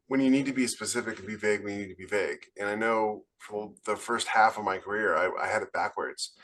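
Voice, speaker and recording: A man's voice: lowish voice, fairly bright tone. A man co-hosting a podcast as an author, explaining his views in casual, conversational panel talk.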